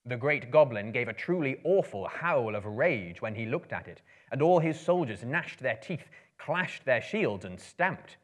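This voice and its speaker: A man in his thirties giving a dramatic book reading, with theatrical, measured, emphatic phrasing. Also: mid-range voice, animated. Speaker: storyteller. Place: room lined with books, indoors.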